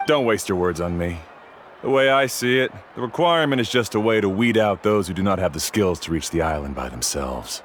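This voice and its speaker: An actor playing a proud, aloof cave guide who talks down to clients in a video game. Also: male voice, low voice, animated, brisk, slight background noise. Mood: scornful. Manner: condescending, dismissive. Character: arrogant, aloof star cave guide, adult man.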